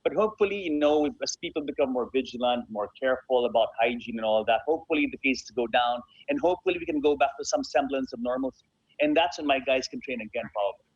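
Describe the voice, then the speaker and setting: Guy being interviewed, quick husky voice, emphatic, kinda dull lofi call sound. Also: mid-range voice, dry recording. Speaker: guest, bobsled team president. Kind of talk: enthusiastic interview talk.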